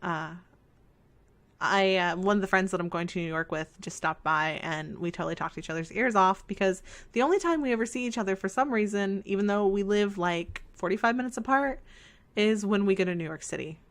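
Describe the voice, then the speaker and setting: A woman's voice: medium pitch, brisk. A woman with a scratchy voice, chatting casually while playing a life sim game and rambling in a self-deprecating way. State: scratchy voice from talking. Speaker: let's play host. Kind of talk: casual chat over gameplay.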